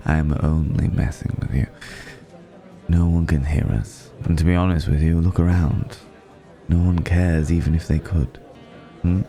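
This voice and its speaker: A man playing a suave, flirtatious, ageless vampire in an intimate ASMR roleplay, speaking in a courtly, teasing way with lingering pauses. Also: extremely low pitch, steady tone, dark tone, soft background music. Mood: teasing, urbane. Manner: leisurely, courtly, teasing, with hesitant pauses and hums.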